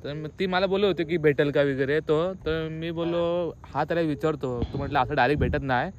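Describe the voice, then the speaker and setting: Young man, higher-pitched, teasing. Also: dull audio, a little hiss or hum. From Maharashtra, India. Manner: teasing, halting and repetitive. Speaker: vlogger making a prank call. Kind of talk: casual chat, playful vlog.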